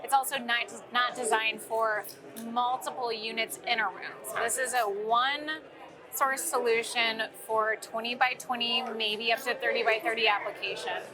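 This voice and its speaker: A woman's voice: fairly high pitch, fairly even intonation, normal speed, music in the background. A woman giving an informal, conversational product explanation as an interview guest. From St. Louis, United States. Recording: crowd chatter at busy event. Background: crowd at a sales meeting.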